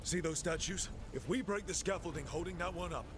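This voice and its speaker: An actor playing a decisive young fantasy adventurer in a video game, brisk and commanding. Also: male voice, a little high, background noise, natural voice. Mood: urgent planning. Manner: brisk, commanding, plain-spoken. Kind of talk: video game action acting. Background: cinematic music.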